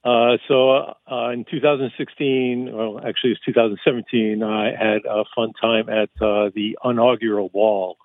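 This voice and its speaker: Low, flat male caller on phone. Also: no echo. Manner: hesitant, halting. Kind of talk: phone-in chat. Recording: tinny phone line.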